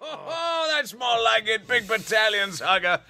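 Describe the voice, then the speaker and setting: An actor playing a cocky naval commander who seems tipsy at cards, slurring and careless, in a radio drama. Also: male voice, sits high, expressive, unhurried, pushed and bright, exaggerated voice. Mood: tipsy, rueful.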